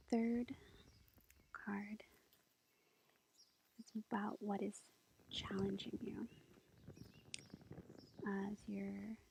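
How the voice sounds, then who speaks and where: A woman playing a sweet, gentle witch girlfriend, reading tarot in a soft, reassuring ASMR whisper. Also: medium pitch. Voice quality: soft, breathy, creaky whisper. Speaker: ASMR roleplay performer. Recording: faint background noise, lo-fi.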